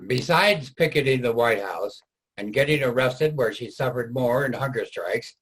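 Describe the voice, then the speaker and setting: A man's voice: mid-range voice, moderate pace, a bit bright, slightly rough, poor audio. A man reading scripted historical narration for a reader's theater production.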